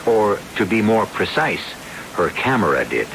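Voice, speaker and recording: An actor playing a suave, ominous mystery host, narrating old-time radio with deliberate, theatrical pauses. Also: male voice, lowish voice, very animated, noisy background. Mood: ominous, knowing.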